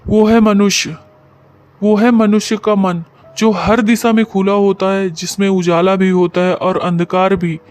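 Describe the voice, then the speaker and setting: Humble blacksmith hero played by a man, high smooth voice, polite earnest delivery, cartoon story. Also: little pitch movement, a little slow, a little mellow. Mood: determined. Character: humble, honest, determined poor blacksmith. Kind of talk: cartoon moral story voice acting.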